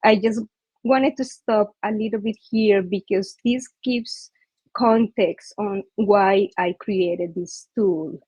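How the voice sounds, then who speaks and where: A woman's voice: mid-range voice, takes it slowly, darkish tone, husky, no room sound. A woman from Colombia with a Colombian Spanish accent, giving a friendly presentation on a video call with many fillers. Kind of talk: online user group presentation. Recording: dull, dry video call audio.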